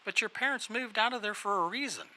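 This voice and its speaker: Insistent boyfriend , played by a man, high, lively and sharp, music faint behind. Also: fast talker, poor audio. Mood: pushy. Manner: pressing, insistent.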